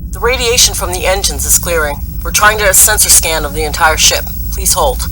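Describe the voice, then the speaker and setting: An actor playing a businesslike starship commanding officer in an audio drama, crisp and official. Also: medium pitch, a little fast, bright, forceful tone, natural voice, low-quality recording. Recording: clear ship ambience noise behind. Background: vehicle-like ambient noise.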